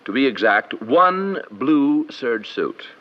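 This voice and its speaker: An actor playing a snobbish, fussy shop owner with a pompous, sarcastic manner in old-time radio style. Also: male voice, medium pitch, very animated, takes it slowly. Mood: indignant. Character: snobbish male owner of a fashionable tailoring shop. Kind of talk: old-time radio comedy-detective acting. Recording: dull vintage radio recording.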